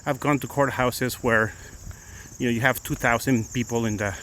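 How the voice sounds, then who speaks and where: A man's voice: mid-range voice, steady tone, normal speed, fairly bright tone. Middle-aged man with a Mexican accent, Spanish native, rambling live-stream chat outdoors, phone mic, faint noise behind. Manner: chatty, rambling, many restarts. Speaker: attorney vlogger. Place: outdoors on a morning walk.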